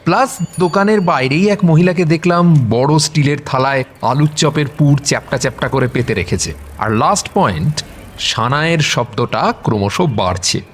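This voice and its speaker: Male actor as confident college-age sleuth, higher-pitched, lively, radio drama dialogue. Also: moderate pace, slight background noise, natural voice. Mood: confident, explaining. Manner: explanatory, teasing, mixes in English words. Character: observant, confident young college man, amateur sleuth.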